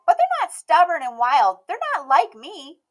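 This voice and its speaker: A woman's voice: sits high, huge pitch swings, a little slow, pitched above the performer's own voice, heavily acted. A woman voicing a stubborn, eager little girl in a storybook, with an emphatic, pouty, pleading delivery.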